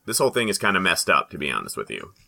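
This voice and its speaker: A man's voice: deep voice, wildly swooping pitch, racing, creaky. A man co-hosting a comedy podcast, giving wry, casual running commentary full of offhand remarks. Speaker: podcast co-host.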